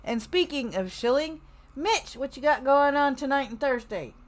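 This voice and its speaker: Woman streamer host chatting casually, voice a bit high. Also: lively intonation, normal speed. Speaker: livestream host. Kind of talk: casual chat.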